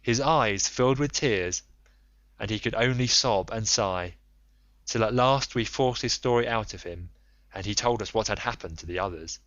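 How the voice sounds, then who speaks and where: A man reading an ancient epic aloud as scripted literary narration, in a measured storytelling delivery. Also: on the low side, fairly bright tone, no echo, lo-fi sound. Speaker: audiobook reader.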